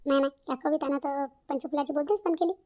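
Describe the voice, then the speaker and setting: A woman's voice: high voice, fairly even intonation, quick pace, dark tone, natural voice. A woman playing a gossipy, judgmental neighbour in a cartoon family drama, exclaiming and repeating herself as she comments. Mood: amused, judging.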